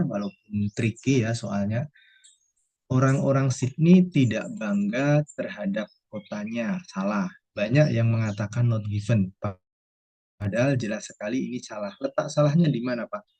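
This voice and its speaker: A man's voice: medium pitch, dark tone, breathy edge, low-quality recording. A man with an Indonesian accent, a lecturer explaining answers step by step and posing questions he then answers himself. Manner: didactic, poses questions then answers them. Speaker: university English lecturer. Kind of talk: explanatory lesson, going through quiz answers.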